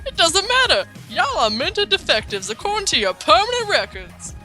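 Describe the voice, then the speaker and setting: A woman voicing a loud, abusive male schoolteacher in an anime parody, barking insults like a drill sergeant. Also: very high-pitched, big pitch swings, normal speed, faint music behind, heavily acted. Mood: abusive, gruff. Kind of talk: comedic visual novel parody dub.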